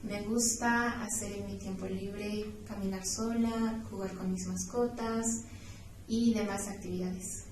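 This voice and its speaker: A woman's voice: mid-range voice, fairly even intonation, a little slow, a little mellow. A twenty-year-old woman with a southern Peruvian accent co-hosting a podcast interview in a scripted style. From Juliaca, Puno, Peru. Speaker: podcast co-host. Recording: echoey room, distant mic, faint noise.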